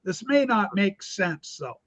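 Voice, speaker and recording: High-voiced exclamatory man. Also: big pitch swings, measured pace, fairly bright tone. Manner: exclamatory, says "holy smoke" a lot. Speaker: livestream host. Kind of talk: casual comedic chat.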